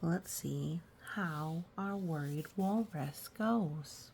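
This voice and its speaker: A woman reading aloud for children in a storytime style, gently explaining with casual asides. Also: low-pitched, drawn-out words, vocal fry, slight background noise. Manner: gentle explaining to young listeners. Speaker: storytime reader.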